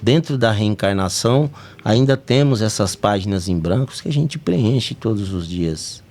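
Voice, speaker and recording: A man's voice: on the low side, expressive, unhurried, darkish tone. A Brazilian man with a Brazilian Portuguese accent hosting a spiritist study podcast, talking earnestly and rising to emphatic peaks. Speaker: podcast host.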